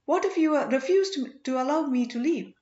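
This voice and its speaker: Earnest banished young king voiced high by a woman. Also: animated, brisk, darkish tone. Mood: apologetic. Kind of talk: audiobook character dialogue. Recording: roomy, slightly dull home recording.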